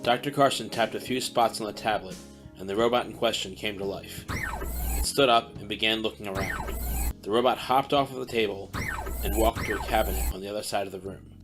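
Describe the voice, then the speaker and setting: Male narrator, lowish voice, plain storytelling over guitar music. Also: fairly quick.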